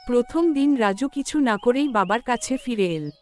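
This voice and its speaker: High-voiced woman narrating a moral story. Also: animated, moderate pace, darkish tone, soft background music.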